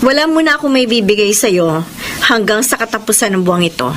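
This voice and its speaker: A woman's voice: mid-range voice, expressive, fairly quick, loud music behind the voice, natural voice. An actress playing a shrewd, controlling Filipino woman moneylender in a radio drama, firm and possessive. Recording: noisy background ambience.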